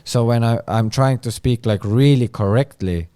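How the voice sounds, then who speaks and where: A man with an Estonian accent, a comedian chatting as a guest and often pausing to search for words. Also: medium pitch, warm tone, smooth, no echo, studio quality. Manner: hesitant, searching for words, many fillers. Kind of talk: casual chat.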